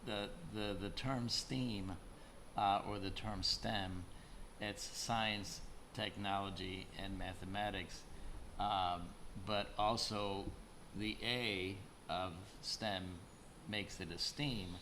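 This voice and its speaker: Guy hosting an interview on a video call, lowish voice, stumbles and repeats himself, noisy background hiss. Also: steady tone, sharp tone. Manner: explanatory, halting with repeated words. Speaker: interview host. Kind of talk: conversational interview.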